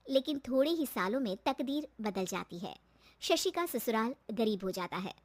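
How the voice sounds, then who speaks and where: A woman narrates a cartoon moral story in a high-pitched voice. She reads from a script as a storyteller. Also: animated, moderate pace, slight background noise.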